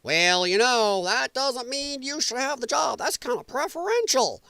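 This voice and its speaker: A man mockingly playing a smug, condescending government hiring bureaucrat in a faux-reasonable, drawn-out manner. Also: extremely high pitch, lively intonation, vocal fry, sharp tone, dry recording, pitched above the performer's own voice, exaggerated voice.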